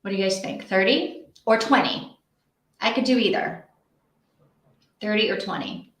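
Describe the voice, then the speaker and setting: A woman's voice: medium pitch, moderate pace, fairly bright tone. Woman livestreamer chatting in an echoey room. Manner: rambling, thinking aloud. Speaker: host of a live reading sprint stream. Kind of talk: casual livestream chat. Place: indoor room with echo.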